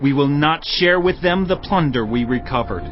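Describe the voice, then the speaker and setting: A man's voice: a little high, animated, normal speed, lightly acted. A man playing a selfish, bitter troublemaker among ancient warriors, grudging and harsh, in an audio Bible drama. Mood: grudging, defiant. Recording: dull audio with clear music behind.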